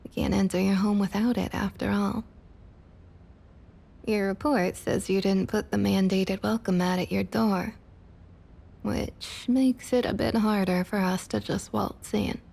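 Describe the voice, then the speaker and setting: A woman playing a domineering, patronizing vampire councilwoman with a Southern US accent and a husky, breathy voice, in an ASMR roleplay. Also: medium pitch, steady tone, a little mellow. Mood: businesslike, smooth. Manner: condescending, drawling pet names, slow and deliberate.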